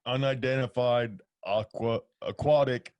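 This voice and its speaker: A man's voice: mid-range voice, steady tone, unhurried, no echo, dull audio. A man in his late forties, a podcast guest, adding short remarks in casual chat. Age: late 40s. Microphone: studio mic.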